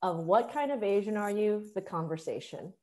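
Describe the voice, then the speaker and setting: A woman's voice: mid-range voice, expressive, moderate pace, warm tone. Playful woman hosting a chat. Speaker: interview host. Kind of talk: casual chat show interview. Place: small plain room indoors, video call. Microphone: wired earphone mic.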